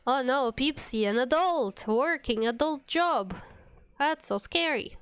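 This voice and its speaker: A woman's voice: high voice, lively intonation, measured pace, fairly bright tone, clear, resonant tone, dry recording, highs cut off. A woman streamer chatting casually with her viewers while playing a game, sounding a little under the weather. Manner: chatty, addresses viewers by name. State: sick with a cold. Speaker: VTuber streamer.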